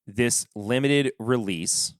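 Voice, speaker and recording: A man with a stuffed-up nose talks slowly and loosely on a podcast, often trailing off into quiet. His voice is smooth and clean, recorded close and dry with no room sound. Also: mid-range voice, no echo. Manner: relaxed, rambling, trailing off quietly. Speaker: podcast host. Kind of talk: casual solo review chat.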